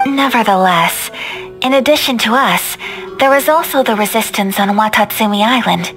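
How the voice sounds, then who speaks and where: A woman playing a composed, courteous young noblewoman in a video game, speaking formally with refined, measured diction. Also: a little high, lightly acted, rough recording. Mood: earnest. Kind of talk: video game character acting. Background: music.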